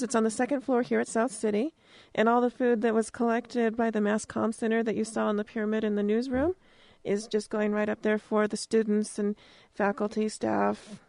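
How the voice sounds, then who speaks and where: A woman's voice: mid-range voice, vocal fry, a little mellow, dry recording. An American woman hosting an informal radio interview, speaking in a plain, conversational way. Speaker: campus radio host, interviewer. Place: radio studio.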